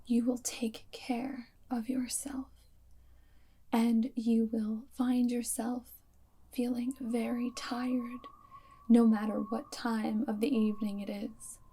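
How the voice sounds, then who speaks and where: A woman playing an aloof, commanding vampire girlfriend and wartime spy in an ASMR roleplay, speaking softly and deliberately in a breathy, often whispered voice. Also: on the high side, little pitch movement, a little hiss or hum. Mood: hypnotic, commanding. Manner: slow, deliberate, hypnotic instructing.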